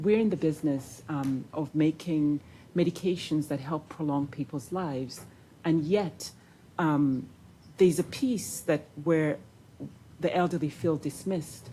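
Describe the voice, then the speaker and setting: A woman's voice: low-pitched, warm tone, slight background noise, poor audio. A woman from Uganda giving roundtable reflections as a pharmaceutical industry panelist, talking hesitantly with many fillers and restarts. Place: conference room.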